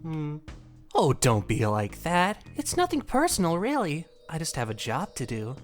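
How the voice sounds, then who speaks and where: Woman voicing a mischievous male jester, sing-song and teasing, wildly swooping pitch, soft music underneath. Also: huge pitch swings, normal speed. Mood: mock-sympathetic. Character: impish male jester, playful and wicked.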